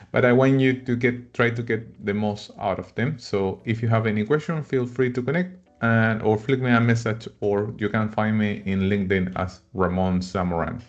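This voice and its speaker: Man from Chile hosting a webinar, lo-fi. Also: medium pitch. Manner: friendly, non-native phrasing with frequent fillers. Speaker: webinar host. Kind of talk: live webinar presentation.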